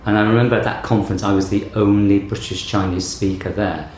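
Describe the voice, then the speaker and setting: A man's voice: on the low side, little pitch movement, a little fast, darkish tone. A middle-aged man, a theatre director, talking in a thoughtful, reflective storytelling way during an interview. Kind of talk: interview conversation. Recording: echoey room with faint background noise.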